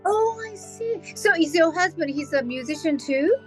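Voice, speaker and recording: A Japanese woman pianist interviewing a guest in English, eager and quick with short agreements. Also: extremely high pitch, expressive, faint music behind. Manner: enthusiastic, lots of short affirmations. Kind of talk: casual interview chat.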